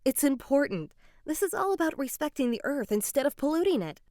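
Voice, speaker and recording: A woman storyteller acts out a bossy schoolgirl eco-crusader from a storybook. The voice is high and lively in pitch, earnest and insistent, with a lecturing edge, recorded dry. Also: brisk, dry recording.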